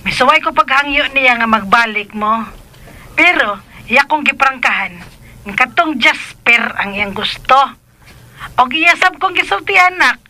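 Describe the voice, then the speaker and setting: An excitable, protective mother in a radio drama, scolding bluntly in a Filipino Cebuano accent. Her voice sits high and cuts through with a very bright, forceful edge. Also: a little slow, heavily acted, poor audio. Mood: scolding, insistent.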